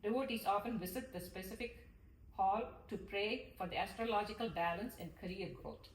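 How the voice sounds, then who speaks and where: A woman giving a lecture read from notes, halting now and then over longer terms. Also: medium pitch. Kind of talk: lecture read from notes with some informal asides. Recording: echoey room, distant mic, faint noise.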